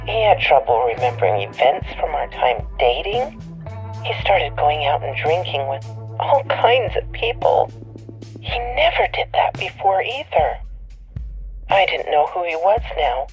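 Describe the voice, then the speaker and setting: A woman playing a bitter divorcee on a tipline, telling her story deliberately and resentfully in an audio drama. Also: fairly low pitch. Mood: bitter, grieving. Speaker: tipline caller. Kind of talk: dramatic monologue in audio fiction. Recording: dull lo-fi radio-like sound, music behind.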